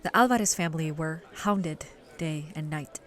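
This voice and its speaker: Actress as seasoned woman reporter, low-pitched, grave, measured, audio drama interview. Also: natural voice. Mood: somber. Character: grave, seasoned female reporter recalling an old case.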